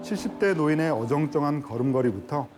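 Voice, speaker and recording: A man hosting a film recommendation show, narrating in a smooth, measured presenter style and chatting with his co-host. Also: on the high side, expressive. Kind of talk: scripted narration and studio chat. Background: background music.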